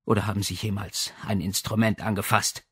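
A man's voice: on the low side, flat delivery, a little fast, sharp tone, breathy edge, dry recording. Man as haughty signora, audiobook character, condescending, commanding, close dry sound. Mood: scornful.

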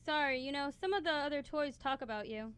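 A woman's voice: sits high, fairly quick, lightly acted. A woman acting a kind, persistent young female toy, coaxing and earnest, in a student radio play. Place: college radio studio, live broadcast. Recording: clear background noise in radio studio.

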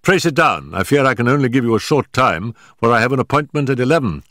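A man reading an audiobook, voicing a brisk, sharp-minded male detective who sets out facts in a measured, emphatic way. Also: medium pitch, sing-song, dry recording, studio quality, pitched above the performer's own voice. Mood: dry, courteous.

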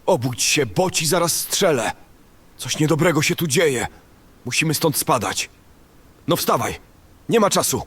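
A man's voice: fairly high pitch, animated, measured pace, pauses often, a bit bright. A man playing a brash, greedy fantasy adventurer in an audio drama, talking in a coarse, boastful, grumbling way. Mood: urgent.

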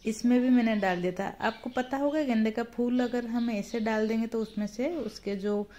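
A woman's voice: on the high side, normal speed, dark tone. A woman giving a casual tour of her home garden, chatting unhurriedly while filming her plants on a phone outdoors. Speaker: gardening vlogger.

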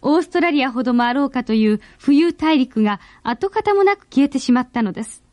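A woman's voice: high voice, expressive, brisk, darkish tone. A woman narrating a 1970s space radio drama in an earnest, suspenseful, dramatic storytelling manner. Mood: awed, grave. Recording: very noisy old radio drama recording.

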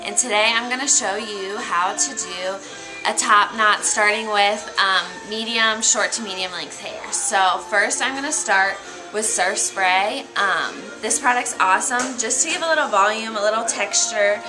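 A woman's voice: medium pitch, a little slow, low-quality recording. A young American woman giving a casual how-to demonstration as a hair stylist, in an informal, loosely phrased, easygoing manner. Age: young adult. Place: hair salon, indoors. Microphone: camera mic a short distance away. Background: music.

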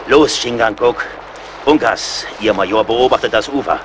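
An actor in a German radio play as a seasoned, relentless frontier scout, sardonic, terse and loyal. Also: male voice, medium pitch, moderate pace, close to an everyday voice. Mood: commanding, combative. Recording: clear battle and outdoor noise behind.

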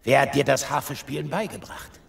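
A man's voice: medium pitch, fairly quick, gravelly. An actor playing a troubled, volatile king in a theatrical, commanding manner in a Bible audio drama. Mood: soothed, pleased. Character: troubled, volatile king, swinging from anguish to booming festivity.

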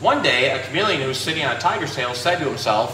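A man's voice: mid-range voice, expressive, quick pace, bright, forceful tone. A man in his thirties talking conversationally, explaining and presenting in a casual educational storytime style. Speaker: zookeeper and pet store presenter. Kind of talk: casual educational animal talk and picture-book storytime. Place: small indoor room with painted aquarium backdrop. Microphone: phone at arm's length. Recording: echoey room, faint background noise.

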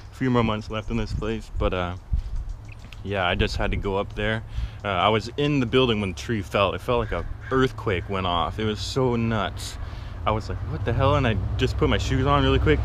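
A man's voice: on the low side, slight background noise. A young man vlogging casually to a handheld camera, rambling with lots of fillers. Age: young adult. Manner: rambling, filler-heavy, easygoing. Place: outdoors on a city street; inside a parked car. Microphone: handheld camera at arm's length.

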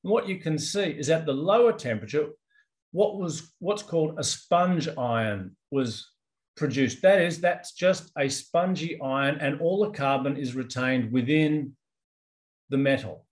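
A man's voice: on the high side, a little slow. A man in his fifties with an Australian accent, presenting research in a webinar over a video call, in a loose conversational way with many ums and restarts. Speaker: company executive presenting research. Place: indoors, office with branded backdrop.

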